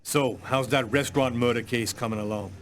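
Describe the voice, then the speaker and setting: An actor playing an impatient, demanding police boss in a video game. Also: male voice, mid-range voice, fairly even intonation, fairly quick, fairly bright tone, a little hiss or hum, natural voice.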